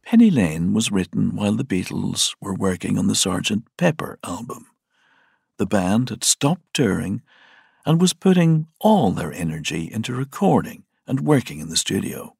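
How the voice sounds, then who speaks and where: A man's voice: lowish voice, big pitch swings, moderate pace, gravelly, a little mellow, slightly rough, dry recording, studio quality. A man reading scripted documentary narration as the narrator of a music programme. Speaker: podcast narrator.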